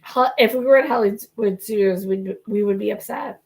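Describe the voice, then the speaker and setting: A woman co-hosting a casual podcast chat, talking quickly with laughing asides while she is losing her voice. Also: medium pitch, darkish tone, slight background noise. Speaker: podcast co-host.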